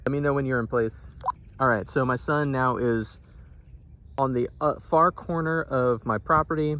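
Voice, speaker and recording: A man's voice: mid-range voice, moderate pace, fairly bright tone. A man, sounding middle-aged, gives a casual product demonstration in a plain-spoken, explanatory way. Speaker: product reviewer.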